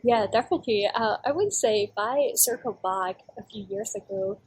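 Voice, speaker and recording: A woman's voice: mid-range voice, moderate pace, darkish tone, a little hiss or hum. Young woman from China, casual guest. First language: Chinese. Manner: casual, many fillers like "like" and "uh". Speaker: startup founder, guest. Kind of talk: interview.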